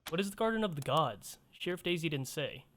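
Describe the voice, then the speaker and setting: A man's voice: on the high side, expressive, a little fast, a bit bright. A man reading a video game's dialogue and narration aloud as the player. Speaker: gamer voicing player lines and narration.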